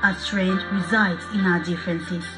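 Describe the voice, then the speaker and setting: A woman from Cameroon reciting a solemn message of peace in a measured, declamatory way. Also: lowish voice, steady tone, low-quality recording. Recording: spoken over a loud music bed.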